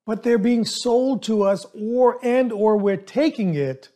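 High-voiced, emphatic male podcast host. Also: slow. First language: not English. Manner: emphatic, animated, coaching tone. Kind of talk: solo podcast monologue.

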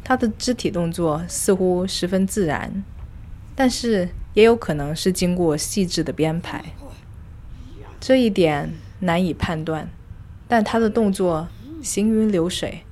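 A woman narrating a scripted first-person arts audio log in a reflective, measured, literary manner. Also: lowish voice, a little mellow, slight background noise. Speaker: host. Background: music.

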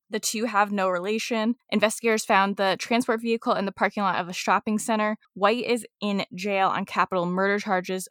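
A woman's voice: mid-range voice, dry recording, studio quality. A woman co-hosting a true-crime podcast, chatting casually and reading news, a bit sniffly and congested. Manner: chatty, laughs easily, many fillers. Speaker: podcast co-host.

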